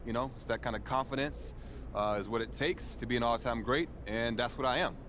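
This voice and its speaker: A man's voice: mid-range voice, brisk, close to an everyday voice. A man playing a confident, easygoing champion basketball star, answering reporters in a casual, colloquial way. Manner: relaxed, colloquial, chuckling, self-assured. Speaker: pro athlete at a press conference. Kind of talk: casual press-conference answers. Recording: noisy outdoor crowd, street ambience.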